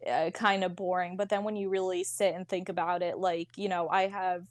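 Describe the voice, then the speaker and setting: A woman's voice: lowish voice, little pitch movement, a little fast, a little mellow, dry recording. A twenty-year-old woman with a Philadelphia accent, chatting casually as a podcast guest in an informal, slangy, joking way. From Philadelphia area and South Jersey, United States. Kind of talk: casual chat.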